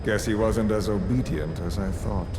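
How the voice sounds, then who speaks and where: An actor playing a cold, menacing villain mastermind in a video game, deliberate, sardonic and coolly formal. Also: male voice, on the low side, close to an everyday voice. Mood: mocking. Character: cold, menacing, courteous middle-aged male villain mastermind. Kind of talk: dramatic game voice acting. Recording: clearly noisy audio-tape style recording.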